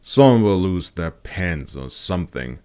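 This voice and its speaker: A man's voice: sits low, very animated, measured pace, mellow. A man voicing an experienced, wry mercenary captain with a matter-of-fact manner in an audiobook.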